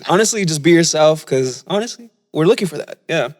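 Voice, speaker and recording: A man's voice: on the high side, animated, brisk. A man hosting a podcast, laid-back and encouraging, swearing casually. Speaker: podcast host, hip-hop artist.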